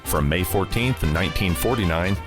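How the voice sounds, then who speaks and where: A man with a deep voice hosts a radio programme. He reads scripted introductions while music plays clearly underneath. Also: normal speed. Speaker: radio show host. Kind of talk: scripted narration.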